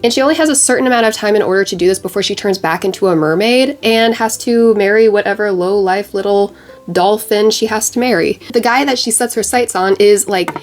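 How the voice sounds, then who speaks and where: A woman's voice: medium pitch, a little fast, soft background music. A young woman with a congested, stuffy voice from a cold, chatting casually as a beauty vlogger while doing her makeup, full of bubbly "like" fillers. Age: young adult.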